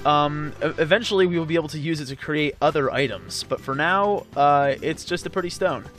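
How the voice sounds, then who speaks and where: Male let's player, highish pitch, chatty rambling joker, light game music underneath. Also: fairly quick, a bit bright. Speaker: let's play commentator. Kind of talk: casual gameplay commentary.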